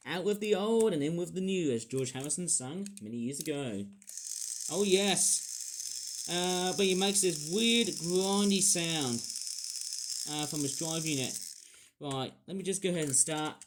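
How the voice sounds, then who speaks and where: A man's voice: fairly high pitch, unhurried, pauses often, noisy background. A young man casually reviewing a toy train set, rambling and apologetic, with many fillers.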